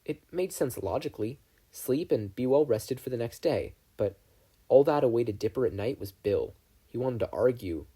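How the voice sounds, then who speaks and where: A man's voice: lowish voice, dark tone, breathy edge. A man reading scripted fiction as an audiobook narrator, telling a story. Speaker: fan fiction audiobook reader.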